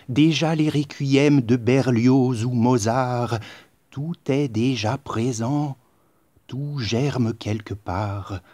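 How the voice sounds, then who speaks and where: A man recites poetry in a solemn, declamatory way. His delivery is very slow and measured, broken into short phrases with pauses. The tone is dark and mellow, and the sound is close and dry. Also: mid-range voice, no room sound. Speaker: poet reciting own poem.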